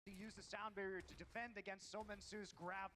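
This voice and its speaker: A male esports caster races through rapid, energetic play-by-play in a high voice. The clip comes from a broadcast played back during a replay review. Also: a little hiss or hum. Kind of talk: live esports commentary.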